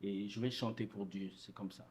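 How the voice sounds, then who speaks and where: Earnest low-voiced man telling his story. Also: moderate pace. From Congo. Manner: earnest, relaxed storytelling with frequent restarts. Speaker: guest gospel singer. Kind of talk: casual interview answers.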